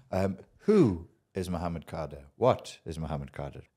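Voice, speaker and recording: A man's voice: sits low, animated, slow pace, dark tone, slightly rough. A man hosting a podcast, asking questions in a casual conversational interview. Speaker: podcast host.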